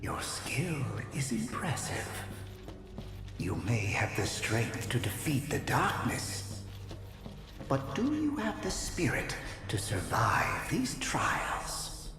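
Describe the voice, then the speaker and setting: A woman voicing an eerie, solemn ancient spirit in a video game, intoning slowly and ominously in a breathy way. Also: rumbling low, huge pitch swings, full character voice. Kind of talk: scripted video game acting. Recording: echoing, distant whisper with music.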